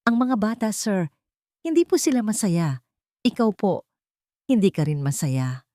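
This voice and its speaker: Babaeng gumaganap na malumanay pero madiing matandang kasambahay. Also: female voice, medium pitch, sing-song, unhurried, no room sound, studio quality, voice pushed up. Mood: gently insistent. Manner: soft-spoken yet insistent, respectful. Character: gentle but firm elderly housemaid, motherly and worried.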